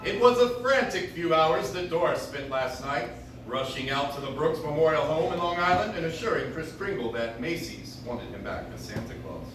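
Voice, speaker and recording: A man's voice: on the high side, expressive, normal speed, over music, lightly acted. Man as radio play narrator, distant and echoey. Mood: measured. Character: measured male 1940s radio producer narrating the play. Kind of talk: scripted narration, 1940s radio. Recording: echoey distant audience recording, clear noise.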